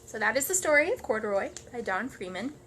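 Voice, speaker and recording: A woman reading children's books aloud with expressive, dramatic emphasis, as in storybook narration. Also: on the high side, fast talker, slight background noise.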